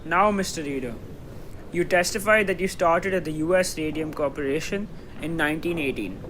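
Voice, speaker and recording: A young man acting in a radio drama as a forceful, skeptical lawyer cross-examining a witness. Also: a little high, moderate pace, noisy background, close to an everyday voice. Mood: incredulous, pressing. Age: teenager.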